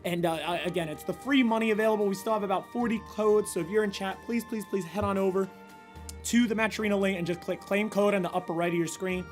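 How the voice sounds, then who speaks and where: High-energy male stream host, slightly hoarse, fast stammering shout-outs over faint game music. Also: steady tone. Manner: hyped, rapid shout-outs with frequent stammered restarts. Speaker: tournament host and commentator. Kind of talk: energetic esports stream commentary.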